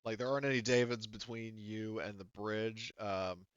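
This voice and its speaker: A man acts as game master in a smooth, clear voice with a dry, slightly muffled sound. He narrates casually and haltingly, thinking aloud as he goes and cursing now and then. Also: lowish voice, level tone, dry recording.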